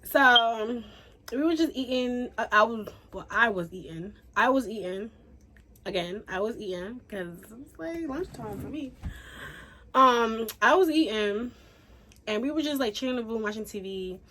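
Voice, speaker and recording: A woman's voice: fairly high pitch, normal speed. Chatty young woman telling a story. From Haiti. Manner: chatty, animated, says "like" and "y'all" a lot. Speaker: YouTuber. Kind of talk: casual storytime.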